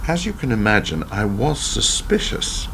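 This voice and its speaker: A man plays an ageing former prime minister in a radio drama, weary and wry, with a low voice that swings expressively as he reminisces. He delivers his story theatrically and sardonically, over clearly noisy amateur recording. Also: huge pitch swings, moderate pace, fairly bright tone, exaggerated voice. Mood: wry reminiscing. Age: older. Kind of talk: radio play acting.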